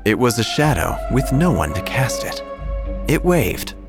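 A man reading a scripted first-person horror story, with deadpan, dryly absurd delivery. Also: mid-range voice, unhurried, music in the background. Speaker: narrator.

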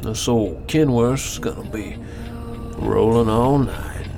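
A kindly older trucker on a night drive in a radio drama. He talks in a slow, folksy drawl. Music plays clearly behind him. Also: mid-range voice. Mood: easygoing. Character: easygoing older male trucker, folksy and kind.

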